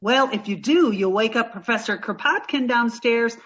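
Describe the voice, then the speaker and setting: An actress playing a sweet, naive, dim-witted young woman in an old-time radio sitcom style, earnest and slow on the uptake. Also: fairly high pitch, fairly bright tone, lo-fi sound, close to an everyday voice. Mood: naive, chirpy.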